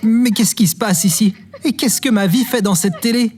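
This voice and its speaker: A man's voice: high voice, normal speed, darkish tone, natural voice. A man playing a bewildered, defensive but good-hearted failure in a French audio drama, flustered and exclamatory.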